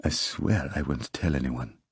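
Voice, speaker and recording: Man playing a sly deep-voiced foreign stranger with an accent, husky and insinuating. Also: big pitch swings, moderate pace, darkish tone, dry recording, studio quality, heavily acted.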